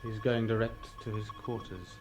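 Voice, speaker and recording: A man acting in a 1960s radio drama as a knowing, scornful spaceship crewman, confiding in hushed tones. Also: on the low side, steady tone, normal speed, over music, lightly acted. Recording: noisy, distant vintage radio recording.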